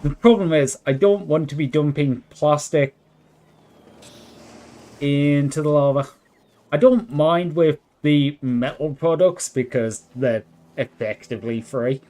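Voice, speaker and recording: A man streaming a game live with a worn, hoarse voice, thinking out loud and chatting with viewers. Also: a little high, measured pace. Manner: thinks aloud, chats with viewers. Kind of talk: relaxed live gameplay commentary. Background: faint background music.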